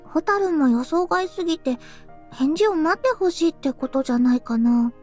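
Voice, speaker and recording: A woman playing a talkative, easily flustered teenage girl in a Japanese visual novel, with animated, expressive delivery. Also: high voice, quick pace, soft background music. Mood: calming, reassuring.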